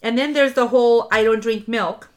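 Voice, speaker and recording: A woman's voice: on the high side, steady tone, normal speed. A woman co-hosting a podcast, a little congested in the nose. She talks in loose, casual chat, full of ums, likes and easy swearing. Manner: chatty, swears freely, frequent ums and likes. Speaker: podcast co-host recapping a reality show.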